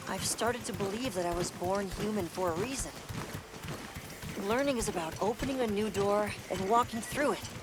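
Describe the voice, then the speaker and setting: A woman playing an earnest, thoughtful young naturalist in a video game, explaining things in a measured way. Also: lowish voice, heavy background noise, close to an everyday voice. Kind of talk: video game character acting. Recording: game music behind dialogue.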